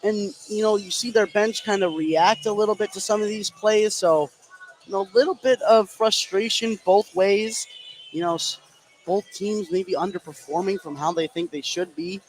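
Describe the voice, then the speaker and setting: A man's voice: high voice, moderate pace, slight background noise, poor audio. A man as color commentator on a live basketball broadcast, giving conversational analysis courtside in a gym. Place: gymnasium, courtside at a game.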